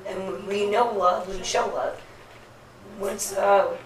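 A woman's voice: medium pitch, steady tone, takes it slowly. A first-generation American woman telling a personal story in a group discussion, hesitant and full of fillers. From United States, first generation of a Puerto Rican family. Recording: roomy, distant mic, noisy background.

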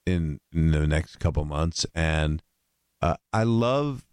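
Older male comedian, very low gravelly voice, loose rambling chat, dry studio sound. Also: lively intonation, slow pace, a little mellow, no room sound. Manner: rambling, slips into jokey put-on voices. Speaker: guest comedian. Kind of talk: casual podcast chat.

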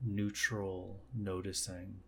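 A man leading a guided meditation, speaking gently and unhurriedly in short phrases with long pauses. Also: deep voice, completely flat delivery, vocal fry, slightly rough.

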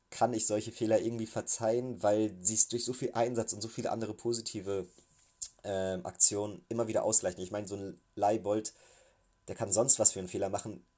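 A man with a cold talks through a football match he just watched, his voice low and dark. He speaks in a level, even tone with little melody. He pauses often with filler sounds as he works through his thoughts. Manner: hesitant, many filler sounds, thinking aloud. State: has a cold. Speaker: football fan reviewing a match. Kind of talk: casual spoken match recap.

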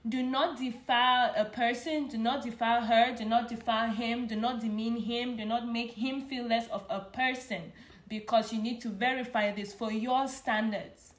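A woman's voice: fairly high pitch, steady tone, normal speed. A woman co-hosting a podcast who speaks emphatically and repeats her points for weight. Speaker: podcast co-host. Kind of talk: casual podcast chat. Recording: roomy echo.

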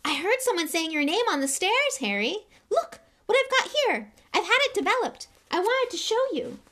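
A woman voicing an excitable young schoolboy fan who gushes questions in an eager, breathless rush. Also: very high voice, normal speed, pitched above the performer's own voice, full character voice. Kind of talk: audiobook character voice.

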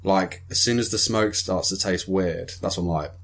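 A young man co-hosting a podcast, joking loudly and swearing a lot in casual chat. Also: low voice, normal speed, gravelly. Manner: loud, jokey, swears a lot. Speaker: podcast co-host.

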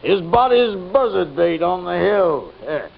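A man playing a brutal, gloating outlaw boss in an old radio western, with a gravelly, folksy frontier drawl and commanding menace. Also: high voice, big pitch swings, unhurried, heavily acted. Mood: menacing, gloating. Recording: muffled, noisy old radio recording.

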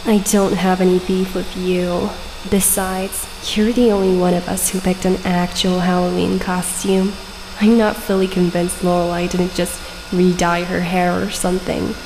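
A woman acting in an audio drama as a blunt, unimpressed villain sidekick with deadpan sarcasm. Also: lowish voice, normal speed, lightly acted. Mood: snide. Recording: noisy, reverberant hall.

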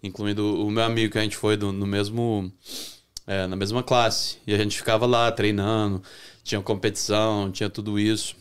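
Smooth-voiced Brazilian man, relaxed chat. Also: fairly low pitch, normal speed. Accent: Brazilian Portuguese. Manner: relaxed, talks with many restarts and self-corrections. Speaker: chef, podcast guest. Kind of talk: casual interview chat.

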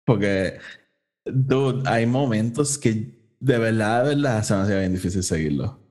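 Low-voiced man, casual podcast host. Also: measured pace, darkish tone. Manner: mixes English phrases into Spanish; informal, slangy. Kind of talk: casual review chat.

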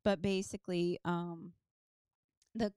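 A woman's voice: on the low side, fairly even intonation, slow, mellow, clean tone, no echo, studio quality. Rambling woman telling a story. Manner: rambling, many side stories and ums. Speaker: podcast guest. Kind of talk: casual storytelling chat.

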